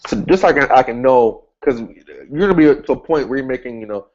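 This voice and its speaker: A man's voice: medium pitch, lively intonation, fairly quick, darkish tone, dull audio. A man casually walking through an online ad setup, thinking aloud as he clicks, with frequent restarts and upbeat asides. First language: English. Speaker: online marketing instructor. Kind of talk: casual screen-share tutorial.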